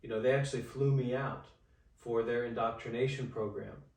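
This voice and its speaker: A man in his twenties, telling his life story as an interview guest in a halting way, with many fillers and restarts. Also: mid-range voice, steady tone, a little mellow. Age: 20s. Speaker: interview guest, Buddhist monk. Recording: echoey room, far from the mic.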